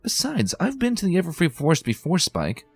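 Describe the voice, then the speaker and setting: A bookish young unicorn mare, earnest and a little anxious, done by a man in a raised voice. Her lines leap up high and swing widely as she insists. Soft music plays faintly under this fan storybook reading. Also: wildly swooping pitch, brisk, slightly rough, full character voice. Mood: insistent. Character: bookish determined young female unicorn pony.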